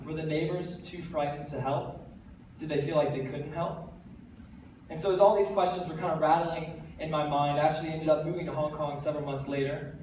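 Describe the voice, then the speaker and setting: A teenage man with an American accent, giving an earnest, energetic stage talk with light self-deprecating jokes. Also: a little high. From United States. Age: teens. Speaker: TEDx speaker. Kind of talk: stage talk to a live audience. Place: large hall. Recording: very echoey hall, voice from a distance, faint background noise.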